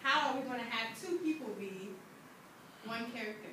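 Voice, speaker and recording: A woman's voice: on the high side, animated, moderate pace, far from the mic. A woman teacher giving classroom instruction, asking lots of questions and checking that her class understands. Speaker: English teacher of a grade 7 class. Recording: echoey classroom from afar, faint noise.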